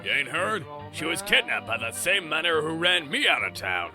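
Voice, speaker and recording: A man's voice: a little high, big pitch swings, normal speed, music in the background. A man acting a scheming, vengeful riverboat saloon owner as a theatrical villain in a fan comic dub. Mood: sly, sour.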